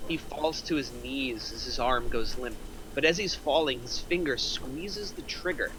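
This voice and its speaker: A man's voice: mid-range voice, measured pace, background noise. A man reading stage directions as the narrator at a script table read.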